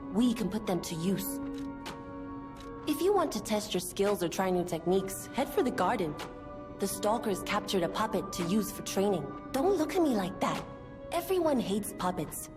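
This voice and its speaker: Actress as capable female ally, medium pitch, matter-of-fact, guarded, music behind. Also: natural voice. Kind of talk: video game character acting.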